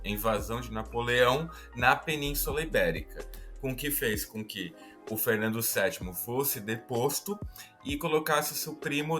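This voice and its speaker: A man's voice: medium pitch, a bit bright. A Brazilian man hosting a casual history podcast, explaining at length in a chatty way with self-deprecating asides. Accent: Brazilian Portuguese. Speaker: podcast host.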